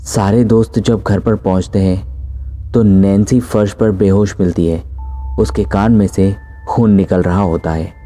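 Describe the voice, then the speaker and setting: A man's voice: deep voice, steady tone, a little slow, mellow. A man narrating a scripted horror story as its storyteller.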